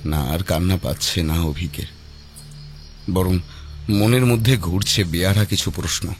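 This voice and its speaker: A man narrating a scripted horror story dramatically, with suspenseful, measured phrasing. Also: deep voice. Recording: loud music behind.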